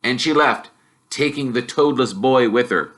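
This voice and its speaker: A man reading a children's novel aloud as an expressive storyteller. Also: medium pitch, unhurried. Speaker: storybook reader, teacher.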